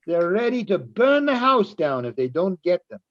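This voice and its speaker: A man's voice: high-pitched, sing-song, normal speed, fairly bright tone. A man playing a folksy, eager parent chiming in during an amateur radio play, with slightly muffled sound. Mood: eager, knowing.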